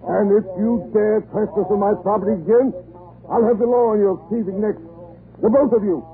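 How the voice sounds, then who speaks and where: An actor playing a haughty, irascible lord, pompous and sputtering, in an old-time radio drama. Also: male voice, sits high, fairly even intonation, brisk. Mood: furious. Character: irascible, haughty English lord, quick to rage. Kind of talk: 1930s-40s radio acting. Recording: noisy, tinny old radio recording.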